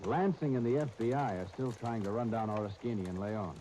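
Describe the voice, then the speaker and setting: An actor playing an earnest, patient defender in a 1930s radio serial, reassuring and plain-spoken, on a noisy old broadcast disc. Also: male voice, lowish voice, brisk, natural voice. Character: earnest, patient male defender calming an excitable associate.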